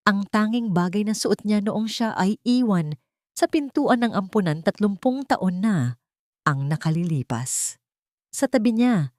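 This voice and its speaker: A woman's voice: fairly low pitch, a little mellow, dry recording, clean studio sound. A woman with a Filipino accent reading a dramatic love story as a narrator, solemn and emotive. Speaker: narrator of audio drama stories.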